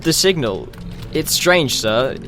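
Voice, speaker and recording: A man's voice: a little high, big pitch swings, slow, noisy background. A man playing a flustered, deferential military control room officer reporting nervously in an audio drama. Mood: alarmed, uncertain.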